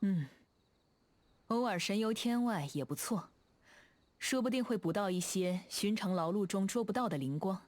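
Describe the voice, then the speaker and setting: A woman's voice: mid-range voice, moderate pace, pauses often, darkish tone, natural voice. A woman voicing a proud, aloof immortal adept in a video game, with a composed, lofty manner. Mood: proud, playful. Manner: lofty, archaic self-reference, composed. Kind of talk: game character voice line. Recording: background music behind the voice. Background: music.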